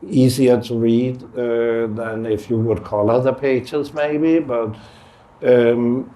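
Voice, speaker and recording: A middle-aged man, speaking as an artist in a relaxed conversation and pausing often to search for words. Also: mid-range voice. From Denmark. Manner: halting, searching for words with many fillers. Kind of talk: casual conversation.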